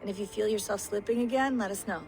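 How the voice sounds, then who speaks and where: An actress playing a practical, caring technician in a video game, brisk, informal and matter-of-fact. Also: female voice, mid-range voice, darkish tone, soft background music, natural voice. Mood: cautious, concerned. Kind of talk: naturalistic video game acting. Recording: faint background noise and ambience.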